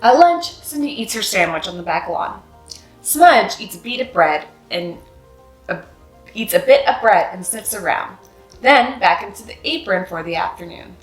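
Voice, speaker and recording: A woman's voice: medium pitch, lively intonation, normal speed, soft background music. A woman reading a children's picture book aloud, narrating expressively and acting out the dialogue. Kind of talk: scripted read-aloud narration with character dialogue. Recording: roomy, echoing sound.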